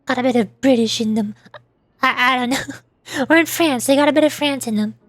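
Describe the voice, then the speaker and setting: A woman's voice: high-pitched, a little fast, a bit bright, no echo. A woman giving casual, playful game commentary, often thinking aloud hesitantly as she goes. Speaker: streamer voice-acting along with a video game. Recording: music behind the voice. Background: game music.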